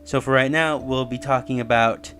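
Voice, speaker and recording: A man's voice: mid-range voice, steady tone, normal speed, fairly bright tone. A man giving casual, rambling explanatory commentary as the host of a theory video. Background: music under the voice.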